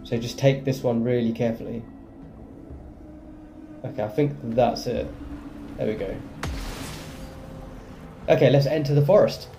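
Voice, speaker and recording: Very mellow-voiced man commenting on gameplay. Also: medium pitch, measured pace, long pauses, soft background music. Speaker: gaming video host.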